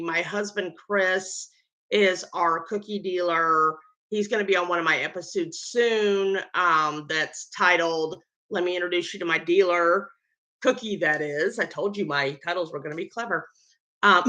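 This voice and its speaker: A woman's voice: mid-range voice, bright, forceful tone. A tired woman with a Southern American accent, chatting casually to camera on her baking vlog, rambling with lots of ums. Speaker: home baker and vlogger.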